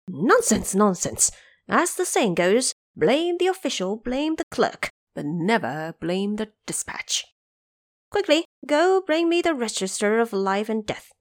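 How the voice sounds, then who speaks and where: A woman's voice: on the high side, very animated, a little slow, no echo, clean studio sound. A woman voicing a defiant, boastful monkey warrior in an audio drama, with an imperious, challenging manner. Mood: scornful, commanding.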